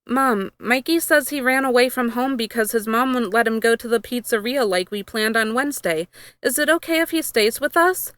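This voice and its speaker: A woman's voice: on the high side, moderate pace, a bit bright, clean tone, no echo, pitched above the performer's own voice, natural voice. An anxious young girl in a read-aloud story, voiced by a woman. She is eager to please and speaks in a raised, clean voice, recorded dry. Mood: polite. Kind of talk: storybook dialogue in fan fiction.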